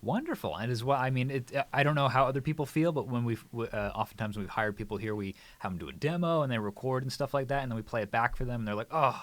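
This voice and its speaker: A man's voice: mid-range voice, fast talker, hardly any pauses, dry recording. A man hosting a casual radio interview, chatty and jokey as he puts questions to his guests. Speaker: radio show host. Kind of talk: casual upbeat interview chat.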